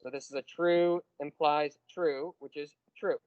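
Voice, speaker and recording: A man teaching a university math class, explaining step by step and slowing down on key terms. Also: fairly high pitch, fairly even intonation, no room sound, highs cut off. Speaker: math lecturer. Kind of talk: classroom lecture.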